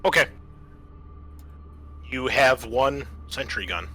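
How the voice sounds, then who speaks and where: A man's voice: mid-range voice, takes it slowly, sharp tone, soft background music. A man acting as game master, casually explaining a tabletop sci-fi game. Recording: noticeable background noise.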